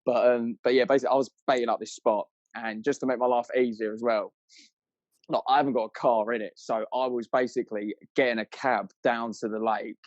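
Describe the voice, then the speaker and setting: A man's voice: mid-range voice, fairly even intonation, a little fast, darkish tone, no room sound, muffled recording. A British man telling anecdotes as a podcast guest, chatty, rambling and full of casual slang.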